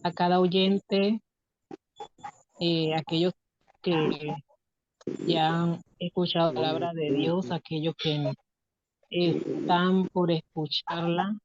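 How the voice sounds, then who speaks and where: A woman preaching and reading scripture in a halting way, pausing between short phrases. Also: lowish voice, steady tone, a crowd in the background. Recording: dull, muffled lo-fi audio.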